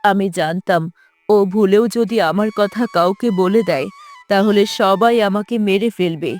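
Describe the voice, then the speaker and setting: A woman's voice: mid-range voice, moderate pace, a little mellow, soft background music, natural voice. A woman voicing a sorrowful, protective mother serpent queen in a fairy-tale cartoon, explaining things solemnly. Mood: solemn, confessing.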